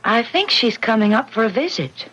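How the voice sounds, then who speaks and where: Grown daughter, husky, fairly high voice, restrained, standing her ground, old radio drama recording. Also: big pitch swings, moderate pace, fairly bright tone, exaggerated voice, rough recording. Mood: quietly defiant. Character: married grown daughter, composed, standing up to father.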